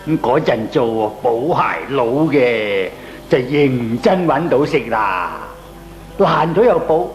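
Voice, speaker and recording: Slow, swooping old Cantonese grandfather. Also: mid-range voice, lively intonation, dull audio. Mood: reminiscing, rueful. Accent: Hong Kong Cantonese. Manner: drawn-out, chuckling storytelling. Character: reminiscing thrifty old grandfatherly neighbour. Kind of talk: comic radio drama acting.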